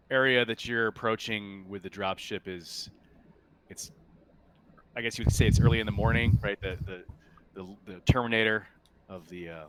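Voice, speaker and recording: A man acting as game master, describing scenes in a halting, improvised way during a tabletop roleplaying game. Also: deep voice, fairly bright tone, slight background noise.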